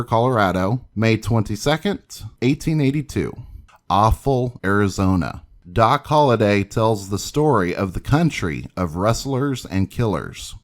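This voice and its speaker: Deep male narrator with very lively intonation. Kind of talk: scripted history narration and dramatic newspaper reading.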